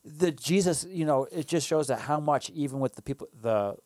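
A man's voice: fairly high pitch, animated, dark tone, no room sound, clean studio sound. A man co-hosting a casual faith discussion, often restarting his phrases before he finds his point. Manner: halting, restarts phrases, playful. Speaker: podcast co-host.

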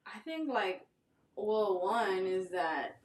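A woman's voice: mid-range voice, lively intonation, slow. A young woman co-hosting a casual podcast, rambling through stories with frequent laughs and filler words. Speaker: podcast co-host.

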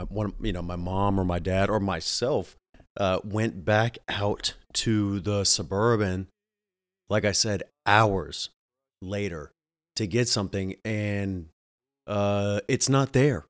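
A man in his thirties with a stuffy, nasal voice, telling a rambling story as a show host and often stopping to restart his sentences. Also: fairly low pitch. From US Southeast. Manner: rambling, self-interrupting, chuckling. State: stuffy nose. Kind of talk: casual storytelling. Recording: music playing behind.

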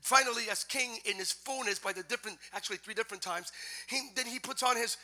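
A man preaching a sermon to a congregation, moving from quiet teaching to loud, half-sung exhortation. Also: very high-pitched, fast. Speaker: pastor. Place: church sanctuary.

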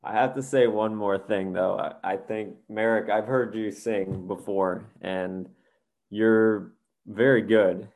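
A man co-hosting a podcast in casual chat. He speaks in a lowish voice, choosing his words thoughtfully with a few small restarts, and sounds encouraging toward his partner. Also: fairly even intonation, slow pace, gravelly, a little mellow. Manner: supportive, thoughtful, some hesitations. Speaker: podcast co-host.

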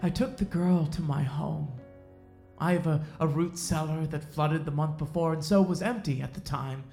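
A woman plays a cold, self-righteous Puritan sheriff in an audio drama, a man who preys on the weak. The voice is low and soft-edged, brooding through long pauses. It sounds in a roomy echo with music underneath. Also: creaky. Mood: cold, confiding. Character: menacing, self-righteous male Puritan sheriff, coldly predatory. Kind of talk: audio drama acting, slow dramatic monologue.

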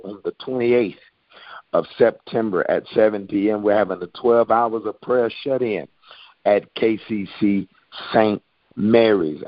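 A man's voice: on the low side, no room sound. A man, a pastor, preaching and giving updates to his congregation over a phone call, quoting old-style scripture with emphasis. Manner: preacherly, quotes King James scripture with emphasis. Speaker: pastor and prophet.

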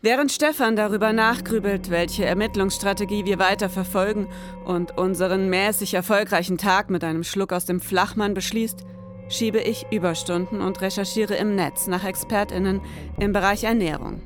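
A woman playing a brisk, orderly detective who narrates a case in a mock-serious, businesslike noir style. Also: mid-range voice, steady tone, music in the background, natural voice.

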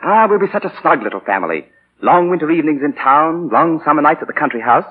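A man's voice: fairly high pitch, animated, fast talker, husky. Smug young man, old phone-like radio. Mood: smug, taunting. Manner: mocking, falsely courteous, theatrical. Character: smug, insinuating young man, a novelist's spoiled son. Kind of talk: 1940s radio acting. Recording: muffled, scratchy 1940 radio broadcast.

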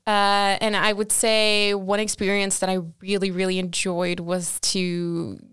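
A woman's voice: mid-range voice, completely flat delivery, a little slow, a bit bright, clear, resonant tone, no room sound, studio quality. A young woman from Portugal, a guest chatting casually and telling stories with animation and frequent restarts. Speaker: guest, recent graduate. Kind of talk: casual chat.

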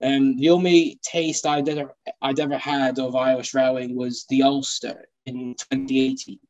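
A man's voice: mid-range voice, level tone, moderate pace, darkish tone, muffled recording. A Scottish man guesting on a podcast, enthusiastic and self-deprecating. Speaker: guest co-host, rally journalist. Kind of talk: casual podcast chat.

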